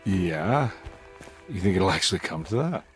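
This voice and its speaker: Low-voiced, wry private eye. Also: male voice, animated, moderate pace, soft background music. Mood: probing, dry. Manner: wry, casually polite. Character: wry, unflappable male private investigator. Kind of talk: cinematic game voice acting.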